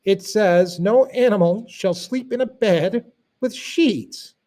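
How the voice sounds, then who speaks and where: Guy doing a lady farm animal from a storybook, raised pitch, sounding out words carefully like an announcement. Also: dark tone. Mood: deliberate. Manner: deliberate, announcing as if reading aloud. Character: female farm animal slowly spelling out writing.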